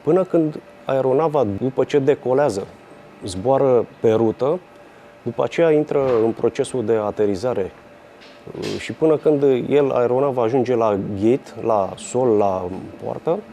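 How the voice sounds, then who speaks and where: A man's voice: mid-range voice, mellow. A Romanian man, an air traffic controller, explaining his work in an interview. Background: faint music.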